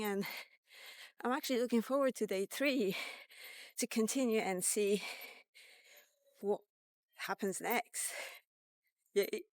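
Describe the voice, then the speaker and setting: Woman in her 50s vlogging, kinda slow and halting, thinks as she goes, clean dry sound. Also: medium pitch, dry recording. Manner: halting, reflective, pausing between short phrases. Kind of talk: casual walk-and-talk diet vlog.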